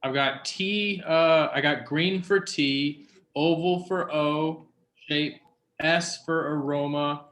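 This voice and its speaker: A man's voice: fairly high pitch, steady tone, takes it slowly, a bit bright. A man moderating a video-call session, asking brief polite questions. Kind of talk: casual video-call chat. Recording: echoey room on a call.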